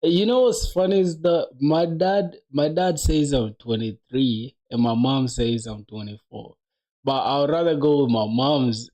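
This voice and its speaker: Mellow, casual young man on a coding stream. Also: medium pitch, a little slow. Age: 20s. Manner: slangy, addresses viewers as bro and dog. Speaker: coding livestreamer. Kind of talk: casual live-stream chat while coding.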